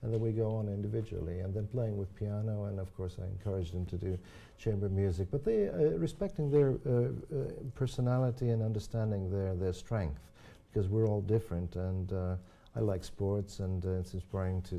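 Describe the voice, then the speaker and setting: A deep, gravelly older man whose first language is Croatian speaks in a dark, mellow tone. He talks thoughtfully in a live interview, restarting phrases and filling pauses with soft yeahs. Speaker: horn player and teacher.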